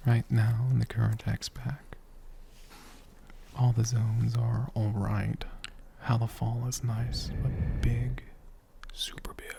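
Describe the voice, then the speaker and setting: A man in a dragon persona, whispering softly and rambling fondly as he answers viewer questions for an ASMR video. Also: medium pitch, little pitch movement, slow pace, gravelly, noisy background. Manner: soft-spoken, rambling, fond.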